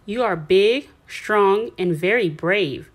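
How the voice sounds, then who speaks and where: A woman's voice: mid-range voice, slow pace. A woman playing a loving, encouraging mother in a children's storybook, tender and reassuring. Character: loving, encouraging young mother of a small boy. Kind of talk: children's storybook read-aloud.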